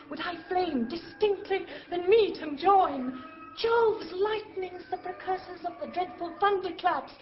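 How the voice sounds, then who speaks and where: A very high, airy spirit voice, eager and exultant, serving a master. The verse is declaimed in full theatrical audio-drama style. The recording is slightly muffled, with music behind it. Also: female voice.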